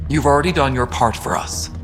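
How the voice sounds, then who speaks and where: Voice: medium pitch, brisk, fairly bright tone, in a large hall, soft background music, natural voice. An actor playing a prickly, scheming alien official in a science fiction video game. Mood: guarded, disapproving. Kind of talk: video game dialogue acting.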